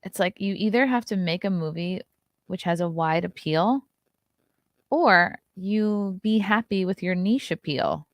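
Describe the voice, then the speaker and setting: A woman's voice: lowish voice, lively intonation, unhurried, no room sound. A woman in her thirties hosting a casual livestream, reading chat comments and reacting with blunt, sarcastic commentary and frequent swearing. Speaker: livestream host.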